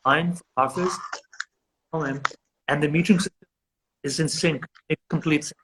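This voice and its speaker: A man's voice: fairly high pitch, fairly even intonation, normal speed, fairly bright tone, a little hiss or hum, low-quality recording. A man whose first language is Urdu, a guest in a remote interview, explaining with enthusiasm and frequent restarts. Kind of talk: conversational interview.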